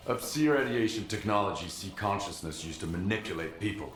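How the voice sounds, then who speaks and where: A man acting an embittered, defiant loner in a video game cutscene, speaking in a measured, cynical, scornful way. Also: deep voice, animated, a bit bright. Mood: grim, resolute. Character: embittered, defiant male loner of a forbidden zone. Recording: roomy, echoing game audio.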